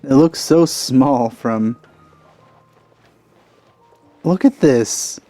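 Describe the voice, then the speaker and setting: Guy doing chill let's play commentary, mellow dark tone, dry mic, quiet game music. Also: medium pitch, drawn-out words, no room sound. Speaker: gaming video host.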